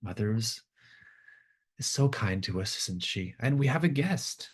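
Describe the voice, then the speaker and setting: A man's voice: medium pitch, lively intonation, unhurried, breathy edge, pitched above the performer's own voice. Man playing an eerily cheerful rural sister. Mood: gushing, cheerful.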